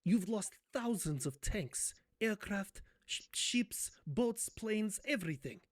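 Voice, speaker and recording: Man for comic roleplay banter: a sarcastic, profane ranter in a put-on accent, pitched a bit high. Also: animated, slow pace. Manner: putting on an accent.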